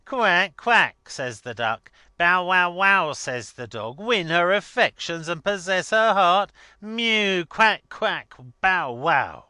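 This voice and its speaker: A man's voice: high-pitched, sing-song, takes it slowly, hardly any pauses, bright, forceful tone, dry recording, studio quality, voice pushed up, full character voice. A man voicing a blustering, scornful older rich man in a dramatic story reading, emphatic and mocking. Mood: ranting, mocking.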